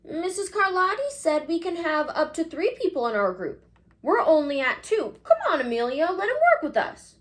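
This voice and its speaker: A woman's voice: very high voice, sing-song, normal speed, pitched above the performer's own voice. A woman voicing a kind, polite young schoolboy in a storybook, with an eager child-like delivery. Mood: pleading. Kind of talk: storybook read-aloud dialogue.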